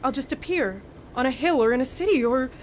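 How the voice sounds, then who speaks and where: An actress playing a haunted, anxious young student in a radio play, with a halting, uneasy delivery. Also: female voice, high-pitched, expressive, a little hiss or hum. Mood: anxious, weary. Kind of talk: dramatic radio play acting.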